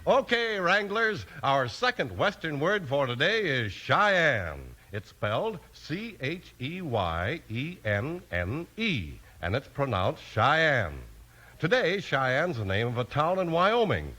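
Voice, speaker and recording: A man's voice: fairly high pitch, sing-song, takes it slowly, sharp tone. A man announcing in 1950s radio style, reading scripted copy and talking in a folksy way to young listeners. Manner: folksy, addressing children.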